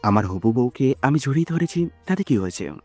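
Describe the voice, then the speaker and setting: A woman's voice: very deep voice, big pitch swings, fairly quick, full character voice. A woman playing a cheeky, amorous young bridegroom in a romantic audio story. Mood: teasing, amorous. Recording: soft music behind the voice.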